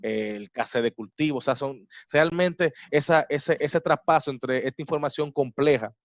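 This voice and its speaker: A man's voice: fairly high pitch, moderate pace, no echo. A man moderating a farming webinar, thinking aloud with frequent restarts. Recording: dry, muffled online call.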